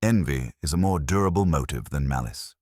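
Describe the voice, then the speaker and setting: A male narrator plays an older master detective, ponderous and imperious. The voice is very deep and rough-edged, moving up and down with each stern pronouncement. The diction is formal and exact, as in a mystery audiobook. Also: lively intonation, a little fast, darkish tone, no room sound, studio quality.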